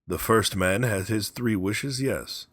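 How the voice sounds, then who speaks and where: Burly, middle-aged sergeant major, acted by a male narrator: husky, emphatic, guarded storybook veteran, pitched up from narration. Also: takes it slowly, fairly bright tone, dry recording, clean studio sound. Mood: grim. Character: burly middle-aged sergeant major, gruff, world-weary old soldier.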